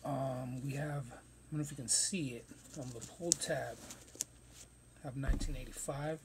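Male vlogger, mid-pitch, casual rambling slang delivery, phone mic, faint hiss. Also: fairly even intonation, normal speed. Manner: loose, rambling slang-heavy talk. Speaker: sneaker reviewer. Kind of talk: casual unboxing vlog. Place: cluttered room stacked with shoe boxes.

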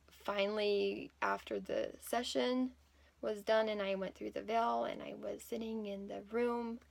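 A woman in her thirties shares a personal story in an unscripted, casual style. Her mid-pitched voice has a lot of vocal fry. She speaks haltingly, often stopping to rephrase. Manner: halting, with many self-corrections. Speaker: vlogger telling a personal story. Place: small plain room indoors at home. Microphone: phone or laptop at arm's length.

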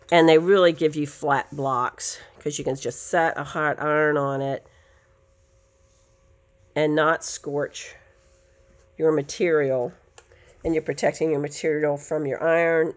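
A woman with a nasal voice, chatty and rambling, teaching a quilting craft at her sewing table. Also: sits low. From United States. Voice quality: nasal from allergies. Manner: chatty, rambling asides, self-correcting. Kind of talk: casual craft tutorial. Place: home sewing table, indoors.

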